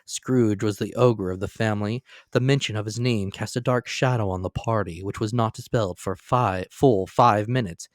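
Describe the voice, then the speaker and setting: A man giving a dramatic, measured reading of a classic novel. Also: on the low side, no echo, clean studio sound. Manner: measured, theatrical storytelling. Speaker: reader.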